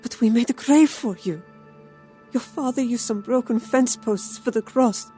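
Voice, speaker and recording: A woman playing a grieving, bewildered Dust Bowl farm mother in an audio drama, soft and anguished. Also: on the high side, measured pace, soft background music, lightly acted. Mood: grieving disbelief. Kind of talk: scripted audio drama acting.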